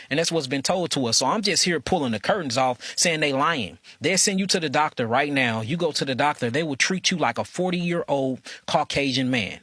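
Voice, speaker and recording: A man delivers a fervent, repetitive monologue. His voice sits a bit high, and the sound is dry with no room echo. He pushes each point home with an insistent, preaching drive. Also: pushed and bright. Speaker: speaker. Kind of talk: impassioned monologue.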